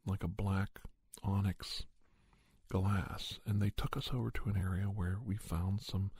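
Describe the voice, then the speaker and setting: A man plays an earnest investigator of strange creatures, recounting his hunt as a soft ASMR story. The voice is lowish and very mellow, with a heavily breathy, husky grain. He speaks haltingly, pausing mid-sentence as he pieces the account together. It sounds close and dry. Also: fairly even intonation, no echo, heavily acted. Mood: hushed, musing. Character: Doctor Andrew Michaels, earnest monster investigator recounting a hunt. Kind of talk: ASMR storytelling, told as first-person account.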